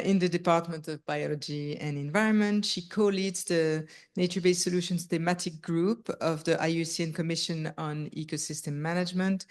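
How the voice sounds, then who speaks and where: Conference chair: woman with a Portuguese accent, deep voice, apologetic introduction. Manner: apologetic, reading a bio. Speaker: session chair introducing speaker.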